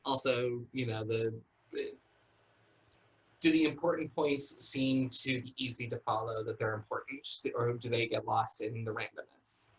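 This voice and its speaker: A man's voice: medium pitch, fairly even intonation, unhurried, fairly bright tone, husky, poor audio. A man speaking casually as a playwright discussing his work. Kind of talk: casual chat.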